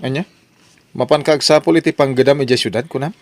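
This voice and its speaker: A man's voice: medium pitch, steady tone, fast talker, faint music behind, lightly acted. A man acting as a frank friend and advisor in an Ilocano audio drama. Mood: questioning.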